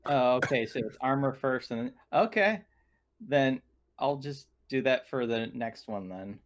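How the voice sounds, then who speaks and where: A man's voice: mid-range voice, animated, a little slow. A man talking casually as a player in a tabletop roleplaying game.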